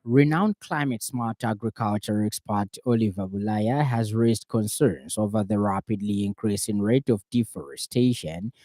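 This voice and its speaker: Low, mellow Zambian male newsreader. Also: no echo. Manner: formal, measured. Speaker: radio news anchor. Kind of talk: scripted news bulletin reading. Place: radio studio.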